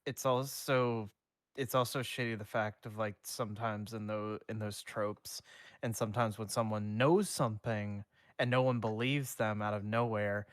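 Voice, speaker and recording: A man reads a fan story aloud on a live stream and stops to chat about it, loose and profane, tripping over words and starting again. His voice sits fairly low and the sound is dry and close on a desk mic. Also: steady tone, moderate pace, no room sound. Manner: loose, swears, many restarts. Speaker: streamer reading fanfic aloud. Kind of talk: casual live reading and chat.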